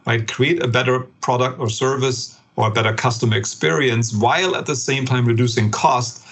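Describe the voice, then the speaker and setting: German-accented man, slightly husky. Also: mid-range voice, normal speed, a bit bright. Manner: thoughtful, many fillers and rephrasings. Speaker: business professor, guest. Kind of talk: interview answers, explanatory.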